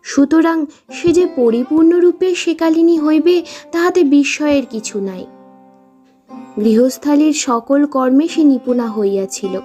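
A woman reading literary fiction aloud in a measured, expressive storytelling manner. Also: high voice. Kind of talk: scripted literary narration.

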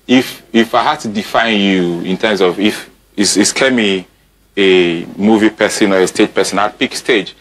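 A man's voice: lowish voice, moderate pace, a little hiss or hum. A man in his thirties with a Nigerian accent, hosting a conversational television interview. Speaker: TV show host. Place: TV studio.

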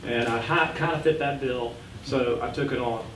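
A sixty-year-old man presents informally to a board in a meeting room. His delivery is halting, with frequent restarts and corrections. The room echoes around his medium-pitched voice, which sits back from the podium microphone over faint noise. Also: a bit bright, far from the mic. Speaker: education board member presenting. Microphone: standing back from podium gooseneck microphone.

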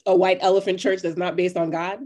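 A woman's voice: fairly low pitch, little pitch movement, brisk, dark tone, natural voice. Woman acting a guarded, skeptical job seeker. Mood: skeptical, direct. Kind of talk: online play reading. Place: indoors on a video call. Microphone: video call microphone.

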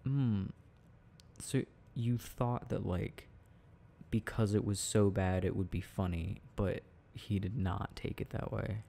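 A young man doing an intimate, casual boyfriend roleplay, playful and affectionate, talking in a halting, giggly way. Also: lowish voice, steady tone, creaky, mellow. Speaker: boyfriend roleplay audio performer.

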